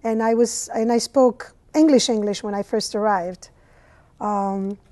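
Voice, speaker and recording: A woman's voice: mid-range voice, steady tone, a little slow, warm tone. A woman from Italy with an Italian accent, a language teacher chatting as an interview guest, with mm-hmms as she tells stories. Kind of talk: casual interview conversation. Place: cable TV studio.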